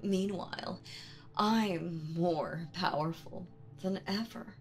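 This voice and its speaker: Slow, commanding demon queen villainess, played by a woman. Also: fairly low pitch, soft background music. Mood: domineering, menacing. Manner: theatrical, commanding, slow and deliberate with teasing menace. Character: seductive, domineering demon queen villainess, reborn and gloating. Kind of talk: dramatic audio roleplay addressed to the listener.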